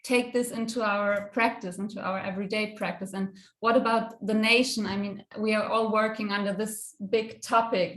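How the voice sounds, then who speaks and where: A woman from Europe speaking as a conference panelist in a spontaneous academic discussion, halting and searching for words. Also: medium pitch. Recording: echoey room sound.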